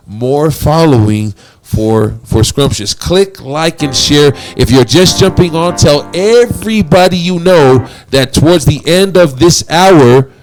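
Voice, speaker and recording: A man hosting a casual talk show, lively and teasing with his guests. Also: mid-range voice, low-quality recording.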